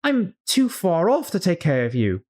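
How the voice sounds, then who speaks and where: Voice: mid-range voice, huge pitch swings, normal speed, darkish tone, voice pushed up. A storyteller voicing a dramatic, bewildered young girl who frets and scolds herself in a storybook. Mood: fretful, musing. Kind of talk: storybook character read-aloud.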